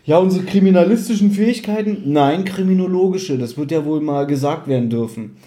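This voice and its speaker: Male podcast host, mellow higher-pitched voice, blunt casual review talk, roomy sound. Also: a little fast. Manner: opinionated, blunt, colloquial swearing.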